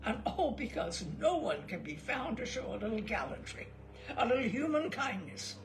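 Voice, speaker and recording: A woman's voice: on the high side, sing-song, normal speed, a little hiss or hum. Woman acting a hearty, theatrical old sea captain. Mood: indignant pleading. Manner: emphatic, declamatory, cajoling. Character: bluff, hearty old fat ship's captain, pleading theatrically.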